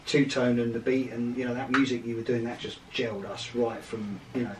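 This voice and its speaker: A man's voice: mid-range voice, flat delivery, fairly quick, far from the mic, heavy background noise. A middle-aged man with a southern English accent, casually chatting as he interviews guests. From High Wycombe, England. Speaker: interviewer, host. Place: small room, indoors. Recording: echoey room, off-mic, loud music behind.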